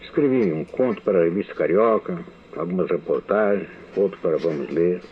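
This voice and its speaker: Older man, Brazilian Portuguese accent, deliberate memoir reading, somewhat deep voice, thin phone-line sound. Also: a little hiss or hum. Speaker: writer giving a recorded testimony. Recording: tinny phone-like archive radio recording.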